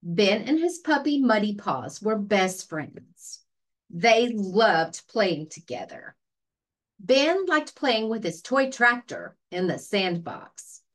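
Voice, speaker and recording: A woman librarian reads a children's story aloud. She takes her time and lets her pitch rise and fall expressively, shaping each phrase for young listeners. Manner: expressive storytelling with deliberate pacing. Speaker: librarian reading children's picture books aloud.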